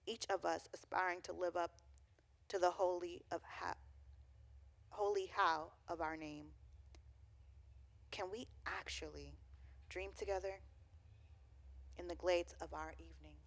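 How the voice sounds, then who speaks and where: A woman co-hosting a community radio show, speaking in a reflective, unhurried and affirming way. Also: lowish voice, steady tone, vocal fry. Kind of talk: warm community radio chat with some spoken poetry.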